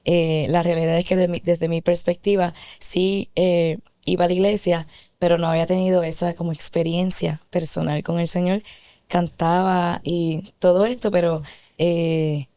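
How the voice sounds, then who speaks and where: A woman's voice: on the low side, level tone, normal speed, warm tone, no room sound. A woman giving an earnest, devout personal testimony as an interview guest.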